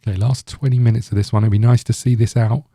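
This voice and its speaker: A man's voice: mid-range voice, steady tone, fast, mellow, no echo, clean studio sound. A man hosting a gaming video, giving casual let's-play commentary in a rambling, thinking-aloud way.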